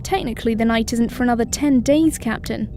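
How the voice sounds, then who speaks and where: Female actor as a matter-of-fact orbital controller, slightly high, warm tone, faint music bed. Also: fairly quick, lightly acted. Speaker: mission control officer. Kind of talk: audio drama acting.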